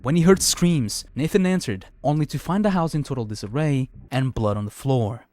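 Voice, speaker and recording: A man's voice: mid-range voice, normal speed, warm tone, no echo. A man narrating a scripted video review of a horror series as its host.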